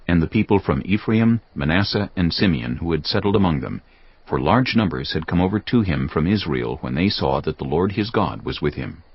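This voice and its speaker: Very deep, expressive male scripture narrator. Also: husky, dry recording. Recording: dull lo-fi sound, music behind. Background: music.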